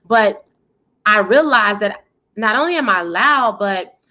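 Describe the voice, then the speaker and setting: A woman's voice: mid-range voice, lively intonation, takes it slowly, dull audio. An American woman giving articulate, emphatic answers as an interview guest, with a powerful, self-assured voice. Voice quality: loud, powerful voice. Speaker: interview guest, PR coach and speaker.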